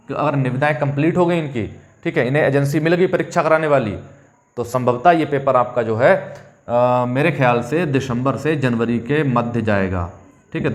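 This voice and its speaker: A man with an average-pitched voice gives an informal explanatory update. He talks like a coaching teacher, addressing his students directly and often checking that they follow. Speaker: coaching teacher and channel host.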